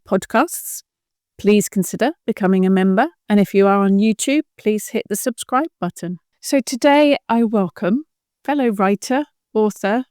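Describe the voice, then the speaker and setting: A woman hosts a conversational podcast. Her voice sits a little high and is recorded close and dry. She talks in a chatty, jokey way. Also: a little mellow, dry recording. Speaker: podcast host.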